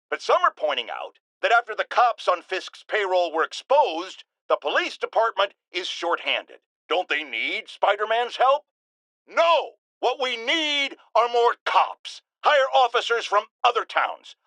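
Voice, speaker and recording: Cranky pompous new radio host ranting, high pitched for a man, sharp forceful voice, super emphatic, video game character. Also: gravelly, no room sound, heavily acted. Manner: bombastic, emphatic, indignant, theatrical. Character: blustering, self-important, cranky male talk-radio host and tabloid publisher. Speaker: radio talk show host. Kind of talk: ranting talk-radio monologue.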